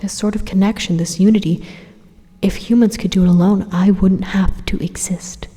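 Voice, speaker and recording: Reverberant, breathy evil robot played by a woman, mellow, whispery, deliberate, coldly persuasive, condescending, ASMR roleplay. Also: medium pitch, steady tone, natural voice. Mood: calm persuasion. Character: calm, menacing supercomputer robot turned evil, coldly persuasive. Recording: long reverberant echo.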